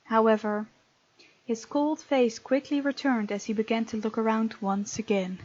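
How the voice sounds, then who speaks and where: A woman's voice: a little high, fairly even intonation, normal speed, darkish tone, dull audio. A woman hosting a gaming video, expressively reading game text aloud with casual, playful commentary.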